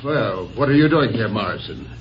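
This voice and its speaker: An actor playing a weary older man in a 1950s radio drama, gruff and questioning, on a dull old broadcast recording. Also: fairly low pitch, very animated, normal speed, noisy background. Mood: wary. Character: weary aging man, father of the house.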